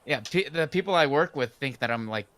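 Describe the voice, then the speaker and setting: A man in his late twenties who sounds younger, chatting casually with halting, repeated phrases. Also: mid-range voice, lively intonation, fairly bright tone. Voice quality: youthful-sounding. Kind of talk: casual group chat.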